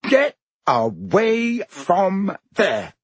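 A man's voice: a little high, sing-song, normal speed, fairly bright tone, breathy edge, dry recording, exaggerated voice. A man voicing a pompous, fussy older schoolboy prefect in a storybook, indignant, officious and scolding. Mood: scandalised, bossy.

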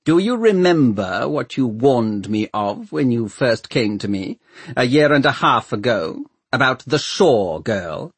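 A man's voice: medium pitch, sing-song, unhurried, slightly rough, dry recording, heavily acted. A man voicing a commanding, coolly ruthless male Lord Mayor with an imperious, clipped manner in an audiobook. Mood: falsely cheery.

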